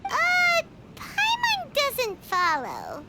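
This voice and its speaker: A woman voicing a tiny, childlike, perky fairy sidekick in a video game, squeaky and chirpy. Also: huge pitch swings, extremely slow, very noisy, heavily acted. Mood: confused. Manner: refers to herself in third person, chirpy. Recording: music behind the voice.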